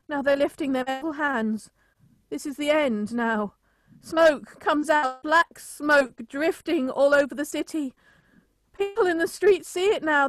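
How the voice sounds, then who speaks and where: A woman playing a grave, solemn radio reporter giving a deliberate eyewitness account in a radio drama. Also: high-pitched, dry recording. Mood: mounting dread. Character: grave radio reporter narrating a city's destruction from a rooftop. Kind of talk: radio drama acting, live news report.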